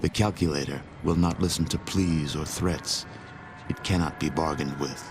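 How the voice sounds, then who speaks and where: A man playing a grave, solemn narrator of a post-apocalyptic game epic, speaking in a measured, portentous way. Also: a bass voice, fairly even intonation, background noise. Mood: grave, stern. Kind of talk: scripted cinematic game narration. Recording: music under the voice.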